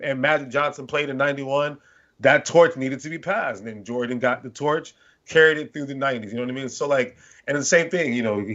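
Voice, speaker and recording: Rambling man on a dull podcast mic. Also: mid-range voice. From born in London, Nigerian family. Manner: rambling, animated storytelling with restarts. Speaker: podcast co-host. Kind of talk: casual sports chat. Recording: slightly dull lo-fi podcast audio.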